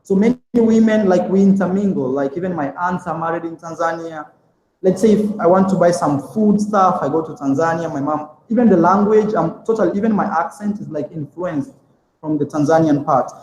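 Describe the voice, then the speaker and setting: Man, young adult, rambling, high-pitched, echoey room. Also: brisk, a little mellow. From Kenya. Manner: rambling with many fillers and restarts. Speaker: interview guest. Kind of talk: casual interview chat. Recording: echoey room with long reverb.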